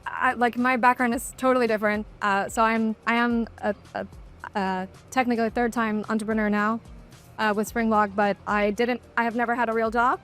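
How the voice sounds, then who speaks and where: A woman's voice: fairly high pitch, steady tone, brisk, fairly bright tone, faint music behind. A woman who founded her own company, talking casually on a panel in a matter-of-fact way.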